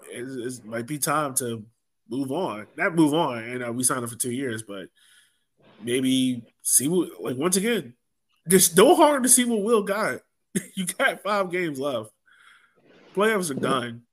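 A man's voice: medium pitch, fairly bright tone. A man co-hosting a soccer podcast, talking casually in a rambling, conversational way. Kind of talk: casual sports discussion.

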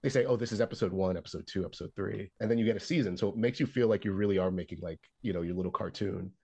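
A man's voice: fairly low pitch, very fast, vocal fry, crowd noise. A young man chatting as a podcast guest, rambling with restarts and upbeat energy. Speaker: podcast guest, comic artist and animator. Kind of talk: casual chat.

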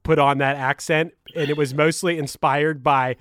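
A man co-hosting a casual podcast, animated, swearing and laughing as he talks. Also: a little high, moderate pace, dry recording, studio quality. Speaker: podcast co-host. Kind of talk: casual chat.

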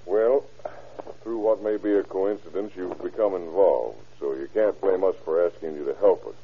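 An actor playing an exasperated, authoritative police captain who questions firmly and insistently in a classic radio drama, his voice husky. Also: sits low, moderate pace, a little hiss or hum. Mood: stern, measured. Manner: firm, insistent interrogating. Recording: dull, lo-fi old radio recording.

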